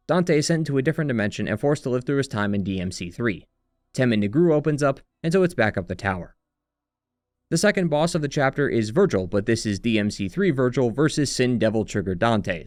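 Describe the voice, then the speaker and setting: A man's voice: mid-range voice, no room sound, clean studio sound. A man narrating a scripted retrospective as a video essayist, with sardonic, wry commentary. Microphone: close, dry voice-over mic.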